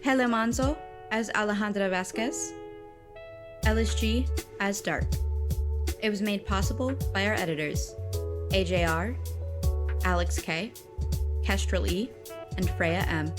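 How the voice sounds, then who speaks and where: A woman of medium pitch reads a scripted podcast announcement. Music plays clearly behind her as she delivers the credits. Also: unhurried, long pauses.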